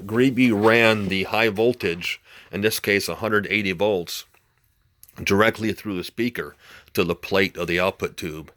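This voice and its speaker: Male collector-presenter, low register, unscripted and explanatory, halting delivery, informal hands-on demo style. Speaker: vintage radio collector and presenter. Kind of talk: informal demonstration talk to camera.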